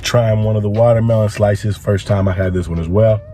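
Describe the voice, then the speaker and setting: A young man with a very low voice and a hoarse, congested sound from a lingering chest cold. He talks in a relaxed, rambling way, full of fillers, and his tone is dark and mellow. Soft music plays faintly underneath. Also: fairly quick. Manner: laid-back, frequent filler words. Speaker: vlogger reviewing products. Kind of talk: casual product review vlog.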